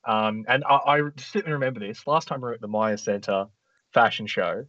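A man's voice: lowish voice, a little fast, fairly bright tone, no room sound. A man co-hosting a comedy podcast, rambling in a mock-earnest way. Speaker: podcast co-host, contestant. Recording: dry lo-fi podcast audio.

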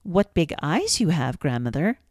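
A woman's voice: deep voice, very animated, moderate pace, darkish tone, no echo. Woman doing an innocent little storybook girl, polite and trusting, dry close sound.

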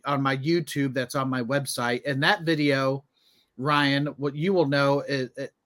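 A man's voice: mid-range voice, steady tone, unhurried, a bit bright, dry recording, studio quality. A man in his thirties, a comedian and podcast co-host, telling things in a casual conversational way. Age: 30s.

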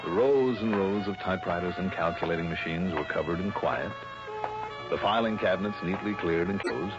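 An actor playing a brisk, wry, streetwise insurance investigator in an old-time radio detective drama. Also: male voice, deep voice, natural voice. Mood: dry, matter-of-fact. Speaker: radio drama lead and narrator. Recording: dull vintage radio broadcast, music behind.

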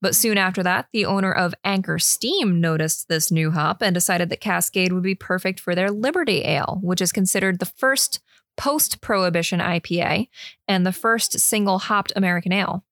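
A woman's voice: on the low side, normal speed, fairly bright tone, no room sound, studio quality. A woman co-hosting a casual beer podcast, reading out her research notes with easy asides.

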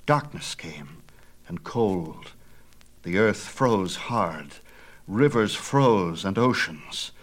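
A man with a fairly low voice narrates an ancient myth. His delivery is theatrical and emphatic, with measured phrasing suited to dramatic audiobook storytelling. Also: animated.

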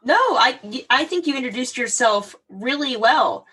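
A woman's voice: sits high, lively intonation, unhurried, pushed and bright, breathy edge, distant mic. A woman hosting an interview, upbeat and chatty, using fillers like "like" and "um". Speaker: interview host. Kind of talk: casual interview.

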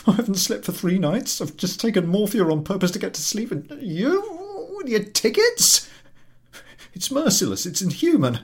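A man's voice: sits high, darkish tone, voice pushed up. A man voicing a scraggy, woebegone invalid railway passenger in a story reading, moaning and querulous with indignant complaint.

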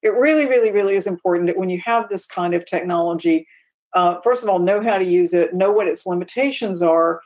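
A woman's voice: on the low side, brisk, a little mellow. A woman co-hosting an aviation talk show, explaining points in a casual but emphatic way. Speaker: podcast co-host.

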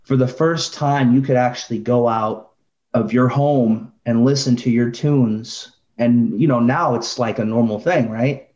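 A middle-aged man co-hosting a podcast, debating in casual, slangy, animated storytelling style. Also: mid-range voice, unhurried. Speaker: podcast co-host. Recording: roomy, dull lo-fi sound.

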